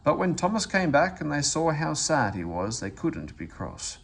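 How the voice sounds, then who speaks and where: A man reading a children's storybook aloud as an expressive narrator. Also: a little high, normal speed, vocal fry, a little hiss or hum. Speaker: story reader.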